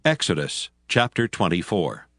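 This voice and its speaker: A man narrating scripture from a script in a measured, formal way. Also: sits low, big pitch swings, a bit bright. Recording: music bed behind voice.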